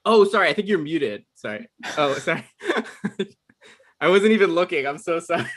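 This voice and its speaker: A man's voice: on the high side, lively intonation, moderate pace, a bit bright. Rambling, self-deprecating man hosting. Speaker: writer hosting a table read. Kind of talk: casual introduction of a cast.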